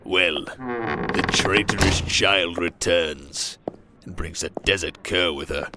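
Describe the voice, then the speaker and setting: A man's voice: deep voice, takes it slowly, very noisy. A man playing a bitter old tyrant king and sorcerer in an audio drama, speaking with menacing, theatrical scorn. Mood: scornful. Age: older. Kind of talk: dramatic audio roleplay acting.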